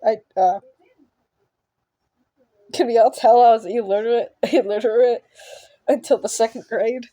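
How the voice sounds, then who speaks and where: A woman hosting a game channel reads a visual novel aloud with casual commentary. Her voice is slightly high and mellow, and she goes slowly, repeating words as she stumbles, with a giggly manner. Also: steady tone, no echo, poor audio. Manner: halting reading, stumbling over and repeating words. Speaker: gaming channel host.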